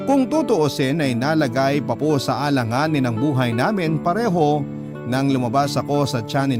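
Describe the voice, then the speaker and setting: A man's voice: medium pitch, lightly acted. A man with a Filipino accent narrating a hard life story as a scripted radio letter, sincere and reflective.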